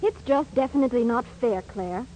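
An actress plays a plaintive young woman in a 1940s radio commercial. Her voice is high and wounded. Faint noise marks the old recording. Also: brisk, dark tone, lightly acted, poor audio.

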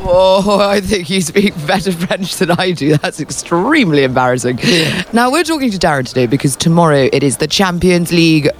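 A woman's voice: on the low side, expressive, a little fast, slight background noise. A woman hosting a sports podcast, interviewing a guest on location at a tennis venue in a playful, teasing way. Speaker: interviewer and show host.

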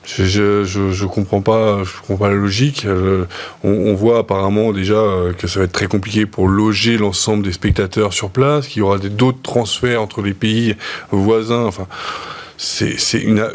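A male interview guest with a notably low voice speaks spontaneously. He is hesitant, often repeating words while he works out his opinion. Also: steady tone, normal speed.

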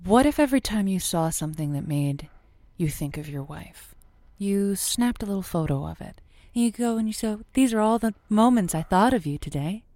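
A woman's voice: medium pitch, lively intonation, moderate pace, dark tone, no echo, natural voice. A woman playing an effusive, encouraging romance advice host on a radio call-in show, with emphatic phrasing, in a semi-improvised audio drama. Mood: earnest, upbeat.

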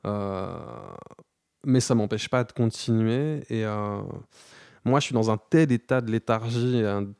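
A man in his thirties speaking candidly in an interview, slangy and halting, with many restarts and fillers. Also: lowish voice, darkish tone, clear, resonant tone, no echo, studio quality. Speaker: guest.

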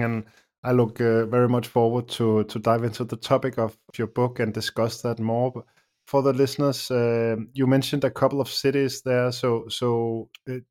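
A man's voice: mid-range voice, a little mellow. A man hosting a podcast, asking questions in a hesitant way with many restarts. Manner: hesitant, halting questions with repeated words. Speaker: podcast host. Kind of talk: podcast interview.

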